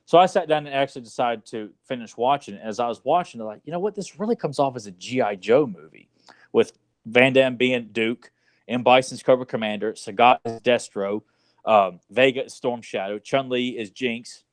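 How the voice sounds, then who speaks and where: A man co-hosting a podcast, chatting casually and swearing now and then like an animated fan. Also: medium pitch, normal speed, dry recording. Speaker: podcast co-host. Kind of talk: casual chat about movies.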